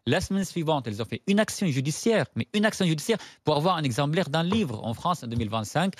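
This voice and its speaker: A man's voice: fairly high pitch, fast, no echo. Arabic-man, insistent and dry. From Syria. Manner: animated, insistent, repeats words when stumbling. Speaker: guest author and journalist. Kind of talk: radio talk show debate. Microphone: studio microphone.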